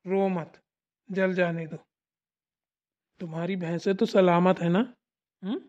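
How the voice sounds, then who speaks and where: A performer playing a calm older village father-in-law who soothes and reassures the family. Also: mid-range voice, slow, close to an everyday voice. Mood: soothing. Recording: dull lo-fi sound.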